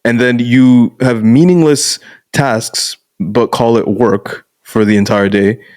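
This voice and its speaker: A man's voice: mid-range voice, fairly even intonation, slow pace, darkish tone, no echo, studio quality. A young man who grew up in Canada, a guest coach talking frankly and casually in a podcast conversation and often calling the listener "bro.". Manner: frank, blunt, peppers speech with "bro".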